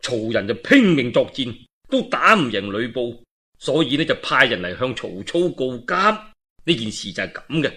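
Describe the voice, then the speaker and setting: Cantonese guy telling old historical stories, really lively pitch swings, bit husky. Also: moderate pace. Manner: colloquial, animated, dramatic asides. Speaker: storyteller. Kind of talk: traditional oral storytelling of a historical novel.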